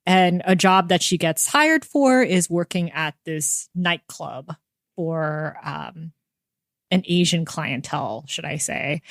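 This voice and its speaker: A woman with a somewhat low voice hosts a casual interview podcast. She talks conversationally, and the sound is dry with very little room around it. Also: no echo. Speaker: podcast host.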